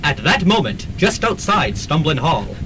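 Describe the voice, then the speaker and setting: A man's voice: fairly high pitch, sing-song, measured pace, bright, forceful tone, slight background noise, poor audio. Young man with mock-serious narration. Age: young adult. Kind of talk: scripted radio drama narration.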